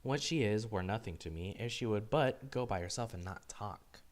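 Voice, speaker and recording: A man voicing Adam as a grumpy, bewildered, solitary diarist, weary and fussy, in a deadpan storybook diary reading. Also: fairly low pitch, normal speed, close to an everyday voice. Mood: exasperated. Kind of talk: first-person storybook diary narration.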